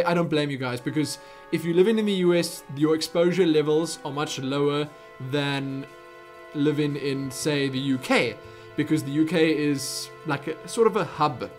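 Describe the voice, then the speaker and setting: A young South African man hosts a casual Q&A, talking in loose, rambling runs that trail off and restart. His voice sits fairly high for a man. Faint music plays underneath. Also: normal speed. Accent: South African English. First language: Afrikaans. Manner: chatty, rambling, self-interrupting. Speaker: YouTube Q&A host. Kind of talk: casual viewer Q&A.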